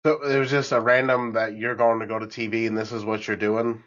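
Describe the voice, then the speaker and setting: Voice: mid-range voice, little pitch movement, a little fast, fairly bright tone, lo-fi sound. A podcast host who asks questions and backs up the guest with short "mm-hmm"s. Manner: brief acknowledgments, laughs along. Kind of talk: interview questions.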